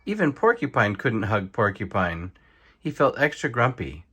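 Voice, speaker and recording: A man reading a children's bedtime story aloud in an expressive narrating style. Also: fairly low pitch, moderate pace, husky. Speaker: storybook reader.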